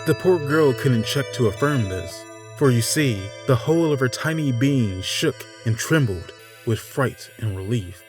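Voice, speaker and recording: A man reading a dark storybook tale in a measured way, dropping to a hush and swelling up again. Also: medium pitch, lively intonation. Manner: measured, with sudden hushed drops and swells. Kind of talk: dramatic scripted narration. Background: music.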